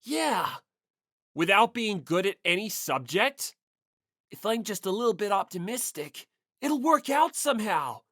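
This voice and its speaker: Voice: mid-range voice, sing-song, measured pace, fairly bright tone, dry recording, clean studio sound. A narrator voicing a timid, optimistic, apologetic teenage schoolboy in a manga audiobook, hesitant and eager.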